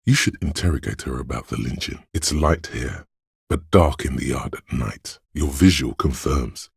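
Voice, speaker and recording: An actor voicing a detective's inner sense of sight in a video game, calm and observant, narrating in measured, literary lines with a dry wit. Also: male voice, no echo, heavily acted. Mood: dryly wry, then clinical, observant. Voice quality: very deep, gravelly, husky. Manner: measured, deliberate, dryly wry.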